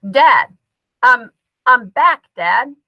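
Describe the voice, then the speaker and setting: A woman's voice: on the high side, animated, extremely slow, dry recording, muffled recording, full character voice. A woman voicing an anxious young boy in a storybook, pleading and hesitant as he calls to his father. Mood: nervous. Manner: hesitant, stammering, earnest.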